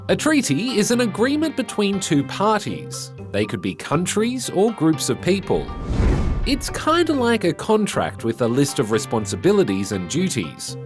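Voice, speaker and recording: Australian male news reporter, bright, animated read, chatty asides, music bed underneath. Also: high-pitched, normal speed. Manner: lively, conversational with asides. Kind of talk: scripted news explainer narration.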